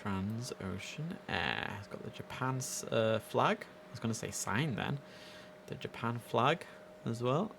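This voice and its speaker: A man's voice: mid-range voice, animated, measured pace, creaky, noisy background. A young man giving chatty, enthusiastic casual commentary as he streams a game live from indoors. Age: young adult. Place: indoors at a computer.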